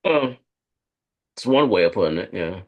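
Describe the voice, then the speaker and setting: A man acting a guarded corporate operative on a date in a conversational script read, speaking in a halting, evasive way. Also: lowish voice, lively intonation, a little mellow, highs cut off. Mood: gentle, awkward. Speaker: actor at a live script read. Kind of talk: conversational screenplay table read.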